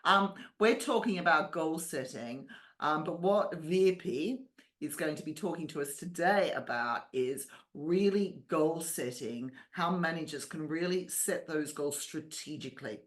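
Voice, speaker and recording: A woman's voice: low-pitched, lively intonation, unhurried. A woman hosting an interview over a video call, chatty and enthusiastic, laughing easily. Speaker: podcast host.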